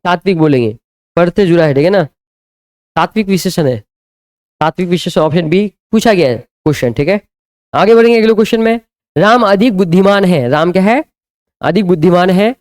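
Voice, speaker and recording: Male teacher, high clear voice, live-stream grammar class, repeats every question and answer. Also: lively intonation, a little fast, pauses often, darkish tone. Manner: drills students with repeated call-and-answer questions. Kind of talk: live online grammar lesson.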